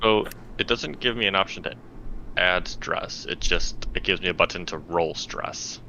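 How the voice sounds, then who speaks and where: A man's voice: low-pitched, moderate pace, vocal fry, bright, forceful tone, lo-fi sound, a little hiss or hum. A man chatting casually as a player on a tabletop game show. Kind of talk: casual chat.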